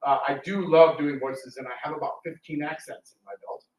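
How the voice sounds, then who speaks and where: Man with a higher voice, echoey room. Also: fairly even intonation, brisk, darkish tone. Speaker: radio theatre performer on a discussion panel. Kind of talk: casual live panel talk. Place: indoor room, public talk at a library. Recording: roomy, distant mic with background noise.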